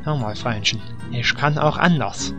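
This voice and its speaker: A man's voice: mid-range voice, a little slow, over music, natural voice. A man playing a naive, polite, easily offended paladin in a parody fantasy audio drama.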